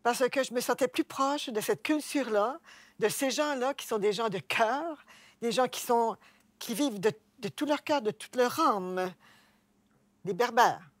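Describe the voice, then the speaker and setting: A woman's voice: fairly high pitch, a little slow, dry recording. A woman with a Québécois accent telling personal stories in an earnest, emphatic way. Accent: Québécois French. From Quebec. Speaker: discussion participant. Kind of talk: personal storytelling.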